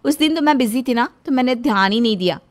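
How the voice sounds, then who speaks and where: A woman's voice: on the high side, expressive, fast talker, lightly acted. A woman voicing a petulant, boastful young housewife in a cartoon story, whiny, dramatic and insistent. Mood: smug boasting.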